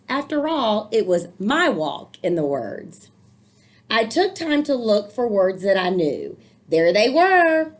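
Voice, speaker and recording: A woman reading a picture book aloud to children, expressively, with encouraging, explanatory asides. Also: medium pitch. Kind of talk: storybook read-aloud with commentary for children.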